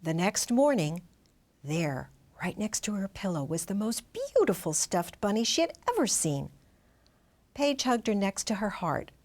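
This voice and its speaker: Animated woman reading a storybook. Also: medium pitch, measured pace. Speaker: storybook reader. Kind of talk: expressive children's read-aloud narration.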